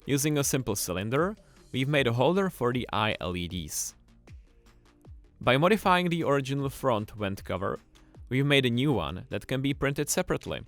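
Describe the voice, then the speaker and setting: Male tutorial narrator, mid-pitched and animated, scripted and enthusiastic, faint music underneath. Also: moderate pace. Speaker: tutorial presenter.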